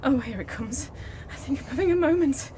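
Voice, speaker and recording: Woman playing high-pitched, haughty lady who lunches. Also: animated, fairly quick. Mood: melodramatic. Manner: pompous, indignant, melodramatic. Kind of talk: amateur radio play acting. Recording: clearly noisy amateur recording.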